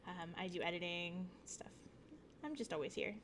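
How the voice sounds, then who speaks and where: A woman's voice: fairly low pitch, normal speed. Give me a woman introducing her character at a tabletop game, bubbly and offhand. A faint hiss sits behind her voice. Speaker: player, regular of the channel.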